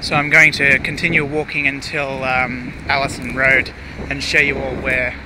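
A man, casually narrating while he walks outdoors, relaxed and hesitant, with many fillers. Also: medium pitch, normal speed. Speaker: urban explorer vlogger. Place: outdoors beside a busy road. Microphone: handheld camera mic, speaker off screen. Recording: outdoor camera audio with clear traffic noise.

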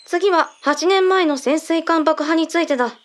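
A woman playing a brisk, matter-of-fact female agent in a radio drama, speaking in blunt, mannish phrasing as she explains. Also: extremely high pitch, slight background noise. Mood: matter-of-fact, explanatory. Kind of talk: Japanese radio drama acting.

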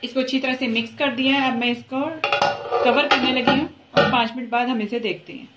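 A woman with a fairly high voice walks through a recipe step by step, unhurried and repeating herself as she cooks. Her voice rings in an echoey home kitchen while frying and sizzling from the pot sound clearly underneath. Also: fairly even intonation, very noisy. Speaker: cooking channel host. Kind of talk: step-by-step cooking instruction.

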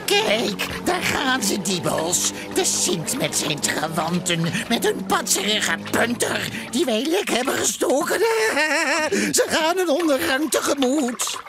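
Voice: mid-range voice, big pitch swings, slow pace, hardly any pauses, over music. An actor playing a mean, gloating, theatrical villain in a children's audio drama. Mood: gloating, sneering.

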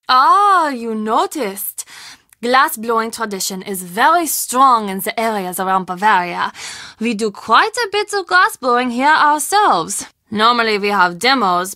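An actress playing a friendly, earnest castle hostess in a video game, confiding and expressive in manner. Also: female voice, on the high side, no echo, clean studio sound, heavily acted. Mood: warm, wistful. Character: friendly young German castle hostess, earnest, spooked, chatty. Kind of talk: video game dialogue acting.

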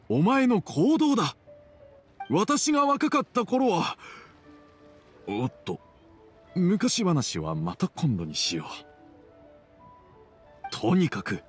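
A man playing a gruff but fond middle-aged father in an anime game, speaking in a measured, formal, slightly awkward way. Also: mid-range voice. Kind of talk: Japanese anime game dialogue acting.

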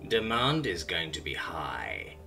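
A man voicing an ancient, bitter alien abductor with needle teeth, speaking slowly and menacingly, often in a whisper. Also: extremely low pitch, wildly swooping pitch, creaky, sharp tone, faint music behind, voice pushed down, full character voice.

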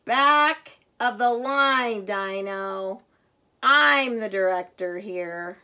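A woman's voice: medium pitch, very slow, pushed and bright, smooth, pitched below the performer's own voice. A woman voicing a bossy triangle who directs a space show in a children's storybook, firm and commanding.

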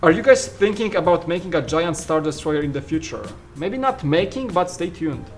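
A man's voice: fairly high pitch, animated, moderate pace, soft background music. A man in his thirties from Poland with a Polish accent, co-hosting a casual studio Q&A and joking as he answers viewer questions. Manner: joking, trails off mid-sentence. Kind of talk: casual Q&A chat. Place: large studio room full of shelves.

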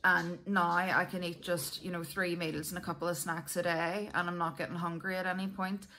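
Bright-voiced Northern Irish woman, chatty. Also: lowish voice, flat delivery, normal speed, slight background noise. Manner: chatty, candid, self-deprecating. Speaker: vlogger. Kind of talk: casual chat to viewers.

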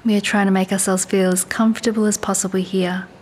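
A woman leads a guided body scan meditation in a calm, soothing, unhurried way, leaving long pauses. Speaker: meditation and yoga teacher. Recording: faint low rumbling background noise.

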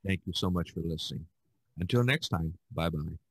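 A man's voice: low-pitched, moderate pace, breathy edge, no room sound. An older man hosting a casual podcast chat, rambling and often repeating his phrases. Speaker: podcast host.

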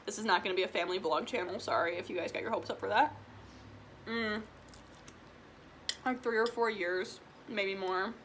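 Chatty 20 yo girl eating on cam, bright slightly high voice, faint hiss in the room. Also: normal speed, long pauses. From Illinois. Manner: chatty, eats while talking, frequent "like" and "um". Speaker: vlogger. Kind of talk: casual mukbang Q&A chat. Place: small room indoors at home.

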